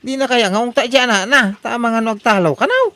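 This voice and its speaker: A woman's voice: a little high, a little fast, a bit bright, no echo, close to an everyday voice. A woman playing an exasperated householder, scolding and complaining in an Ilocano comedy radio drama.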